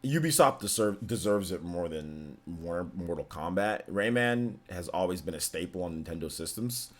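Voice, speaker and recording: A man's voice: low-pitched, lively intonation, normal speed. A man in his forties chatting casually as a streamer, loose and filler-heavy, answering chat questions and laughing easily. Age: 40s. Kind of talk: casual livestream Q&A.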